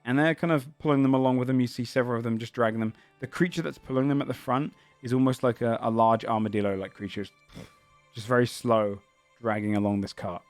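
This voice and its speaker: A man's voice: mid-range voice, steady tone, quick pace, faint music behind. A man narrating a tabletop game as game master, describing the scene in a searching, descriptive way.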